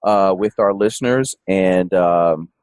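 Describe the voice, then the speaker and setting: A man's voice: deep voice, takes it slowly, darkish tone, smooth, no room sound, studio quality. A man hosting a finance podcast, talking in a folksy, rambling way with lots of fillers. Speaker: podcast host. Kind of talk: casual interview chat.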